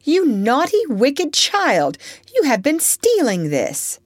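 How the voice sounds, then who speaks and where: A woman's voice: medium pitch, big pitch swings, unhurried, no echo, studio quality, pitched above the performer's own voice. A woman voicing a strict, prim middle-aged aunt who scolds and exclaims as she reads a storybook aloud. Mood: scolding. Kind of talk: storybook reading.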